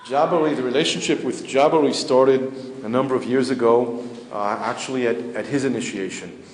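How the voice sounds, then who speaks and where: A man, a community spokesman answering journalists' questions in a measured, matter-of-fact way, pausing to search for words. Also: mid-range voice, a little mellow. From United States. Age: 50s. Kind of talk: press interview answers. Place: indoor hall. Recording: echoey hall, faint ambient noise.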